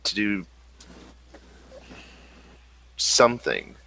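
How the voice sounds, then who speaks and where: A man as game master, narrating a tabletop game in a relaxed, hesitant way. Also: lowish voice, normal speed, creaky.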